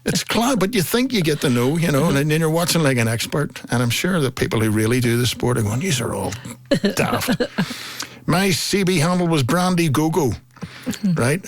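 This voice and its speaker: A man's voice: a little high, lively intonation, moderate pace. A middle-aged man with a Belfast accent chatting casually as a host, reading out messages and telling jokey anecdotes, acting out what people said. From Northern Ireland. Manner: jokey, acting out reported remarks. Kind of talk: casual comic chat and anecdotes.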